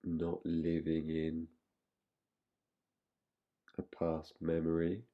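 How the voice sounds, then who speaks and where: A man guiding a relaxation meditation in an unhurried manner, leaving long pauses between phrases. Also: extremely low pitch, completely flat delivery, highs cut off. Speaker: meditation guide.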